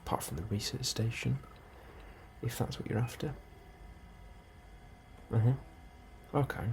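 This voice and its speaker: A man doing a soft, breathy ASMR role-play as an unhurried, politely understated officer interviewing a newcomer. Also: medium pitch. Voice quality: soft, breathy, often near whisper. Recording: steady ambient noise behind the voice. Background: computer and ambience sounds.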